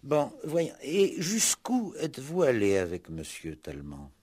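An actor playing a probing, dryly sceptical police commissioner in a 1960s French radio play, questioning in a curt, methodical way with grunts and short prompts. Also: male voice, medium pitch, wildly swooping pitch, measured pace, darkish tone, husky, full character voice.

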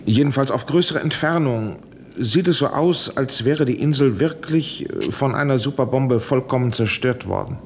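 Seventies radio play male actor: evasive, nervous professor, hedging and pleading, very lively intonation, slight background noise. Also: medium pitch, normal speed, lightly acted. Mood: hesitant, explaining. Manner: hesitant, hedging, urgently pleading. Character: evasive, anxious male professor guarding a secret. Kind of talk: 1970s German radio play acting.

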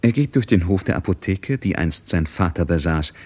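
A man with a low voice narrates a literary radio drama. His delivery is measured and scripted, in the manner of a storyteller. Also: lively intonation, a little mellow, breathy edge.

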